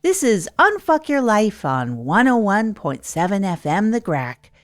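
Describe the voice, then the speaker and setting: A woman acting as an earnest, down-on-their-luck local radio host in a comedy audio drama. The delivery is deliberate and self-deprecating, with very animated pitch that wanders through rambling thoughts, over a light music bed. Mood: hopeful, rambling. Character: Tog Chesterfield, earnest, hapless radio host rebuilding their life. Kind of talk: improvised comedy audio drama monologue, late-night radio parody.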